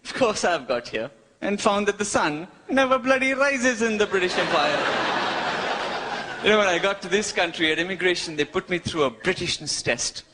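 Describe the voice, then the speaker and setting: A man from India with an Indian accent performing a cheeky, wry stand-up routine, pausing for his punchlines. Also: sits high, animated, noisy background. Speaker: stand-up comedian. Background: audience laughter.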